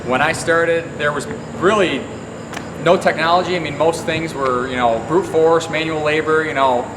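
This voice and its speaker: A plain-spoken man giving informal interview answers as a farm employer, talking haltingly with many filler phrases. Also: a little high, steady tone. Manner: plain-spoken, halting, frequent "you know". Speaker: farm employer and heavy equipment operator. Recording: distant, echoing, loud vehicle and ventilation hum.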